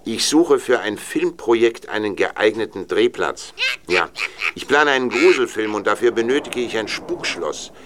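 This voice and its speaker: An actor playing a commanding older film director in an audio drama, speaking in a deliberate, businesslike way. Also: male voice, lowish voice, expressive, fairly bright tone, slight background noise. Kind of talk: German audio drama dialogue.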